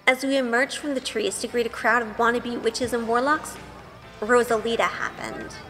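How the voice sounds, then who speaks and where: A woman's voice: a little high, moderate pace, a bit bright, in a large hall, faint music behind. An actress playing a sheltered, good-hearted young woman turned witch, narrating her own audio drama story with wonder. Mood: wonder-struck. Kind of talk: first-person audio drama narration with in-scene dialogue.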